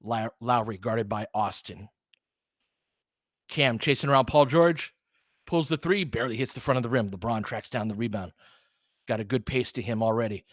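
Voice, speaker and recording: A man's voice: medium pitch, normal speed, pauses often, no echo. A man with a mid-range voice hosts a live basketball stream. He calls plays in an informal, conversational way and addresses his viewers directly. The sound is close and dry, with very little room in it. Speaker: livestream host and play-by-play commentator. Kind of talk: casual live basketball commentary mixed with chat to viewers.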